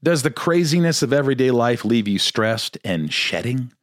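A man reading a sponsor advertisement on a podcast in a steady promotional manner. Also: mid-range voice, normal speed, no echo, clean studio sound. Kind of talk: host-read advertisement.

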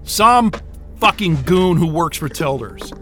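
A man's voice: on the high side, lively intonation, slow pace, pushed and bright, faint music behind, exaggerated voice. An actor playing a frantic, exhausted and angry station crewman in a science-fiction audio drama, agitated, sweary and stammering. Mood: exasperated, anxious.

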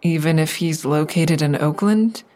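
An actress playing an anxious, hesitant woman making a halting call for help in an audio drama. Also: sits low, mellow. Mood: pleading, frustrated. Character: anxious, hesitant woman reporting a stalking ex-boyfriend. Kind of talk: audio drama acting, naturalistic phone dialogue.

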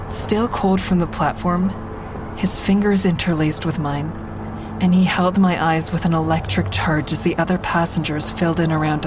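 Actress as low-voiced haunted survivor, music behind. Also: female voice, close to an everyday voice. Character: haunted woman survivor of a train shooting, earnest. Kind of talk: first-person audio drama narration.

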